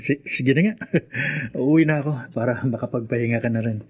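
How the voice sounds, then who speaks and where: A man's voice: mid-range voice, normal speed, breathy edge, lightly acted. A man playing a reassuring, protective young sweetheart, earnest and coaxing, in a radio drama. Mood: teasing, tender.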